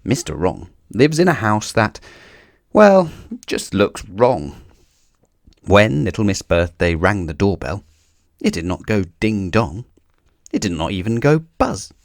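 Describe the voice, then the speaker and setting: Low-voiced man reading with lively swings. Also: measured pace, creaky, long pauses, no room sound, clean studio sound. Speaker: storybook reader for children. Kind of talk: expressive read-aloud narration.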